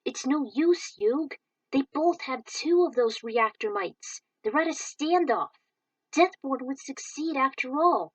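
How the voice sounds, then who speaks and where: A woman's voice: high voice, expressive, measured pace, dry recording, pitched above the performer's own voice. A woman voicing a worried young male duelist who speaks urgently, recorded dry and a little far from the mic.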